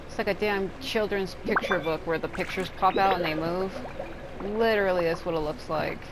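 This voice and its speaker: Low-voiced woman doing gameplay commentary. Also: background noise. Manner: rambling, informal. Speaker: gaming video host. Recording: game music behind the voice.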